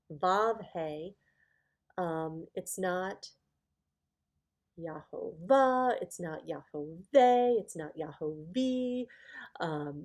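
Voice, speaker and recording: A woman teaching an informal Bible lesson to viewers in a deliberate, halting way, leaning on key words. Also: lowish voice, darkish tone. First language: English.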